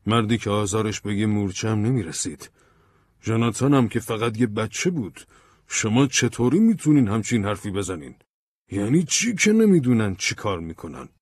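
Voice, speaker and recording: A man's voice: low voice, husky, dry recording. A man playing an indignant man seeking justice. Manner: blunt, indignant.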